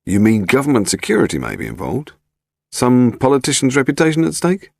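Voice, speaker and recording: A man's voice: mid-range voice, very animated, brisk, gravelly, a little mellow, dry recording, clean studio sound, voice pushed up. A man playing a blunt, world-weary, matter-of-fact English police inspector. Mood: blunt, probing.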